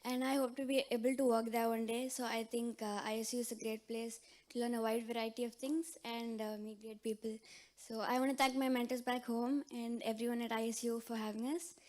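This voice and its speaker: A woman introduces herself politely and steadily. Her voice is high but keeps a very even, flat line. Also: fairly quick. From India. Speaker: incoming student. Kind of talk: short self-introduction at a ceremony.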